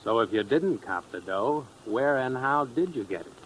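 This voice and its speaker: An actor plays a cool male blackmailer in an old radio crime play, with a medium-pitched voice. He is hard-boiled and slangy, needling and threatening. The vintage recording carries light noise. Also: expressive, moderate pace, fairly bright tone, lightly acted, poor audio. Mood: taunting, threatening. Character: menacing, sardonic male blackmailer, cool tough crook. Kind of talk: 1950s radio crime acting.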